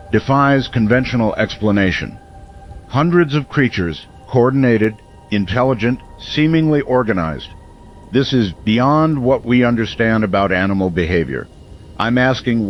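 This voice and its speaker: An actor playing a grave, steadfast male late-night radio host, broadcasting in a solemn, measured, portentous manner in an audio drama. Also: fairly low pitch, muffled recording, a little hiss or hum, natural voice.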